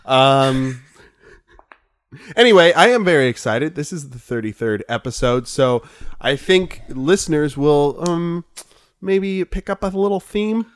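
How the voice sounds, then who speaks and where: A man's voice: on the high side, expressive, no room sound, studio quality. A man hosting a casual comedy podcast, joking and laughing often with his co-hosts. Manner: jokey, laughs often, slow drawn-out delivery. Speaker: podcast host.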